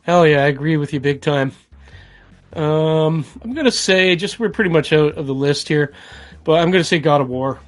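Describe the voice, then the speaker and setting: A man's voice: a little high, normal speed, faint music behind. A middle-aged man co-hosting a podcast in casual, slangy, buddy-like chat. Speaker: podcast co-host. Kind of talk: casual chat about video games.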